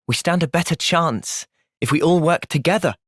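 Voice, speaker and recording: A man with an English accent voicing an earnest, thoughtful young soldier hero in a fantasy video game, speaking in a measured, reflective way. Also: on the high side, dry recording, studio quality, close to an everyday voice. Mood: calm, thoughtful. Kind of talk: video game cutscene acting.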